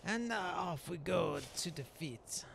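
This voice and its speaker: A man voicing a mild, courtly Dark Elf shaman in improvised video game roleplay, musing aloud in hesitant, old-fashioned phrases. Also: a little high, expressive, slow, full character voice. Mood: calm, musing. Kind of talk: in-character improvised gameplay narration. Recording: clearly noisy background.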